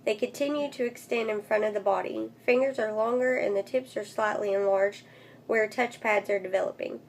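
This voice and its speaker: A woman's voice: mid-range voice, fairly even intonation, fairly quick. A woman vlogger with a congested, nasal voice, recovering from losing it, speaking in a casual update style and recorded on a phone. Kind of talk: casual update vlog, reading aloud from a book. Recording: clear background noise, phone recording.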